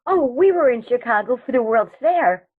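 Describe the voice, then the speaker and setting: Over a thin, phone-like recording comes a prim old aunt, played by a woman. She is genteel yet firm and a little flustered, with a highish, expressive voice in radio comedy style. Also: normal speed, slightly rough. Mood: polite, uneasy. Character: prim elderly aunt, polite but firm. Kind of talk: stage comedy radio acting. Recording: thin phone-like band-limited sound.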